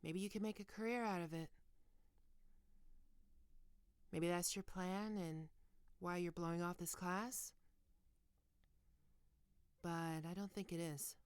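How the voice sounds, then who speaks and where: A woman playing a stern, teasing goth college professor in an ASMR roleplay, speaking deliberately and condescendingly into a close microphone. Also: lowish voice, steady tone, clean tone, no echo, natural voice. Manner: condescending, taunting, deliberate with pauses. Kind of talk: ASMR roleplay, scripted monologue to listener.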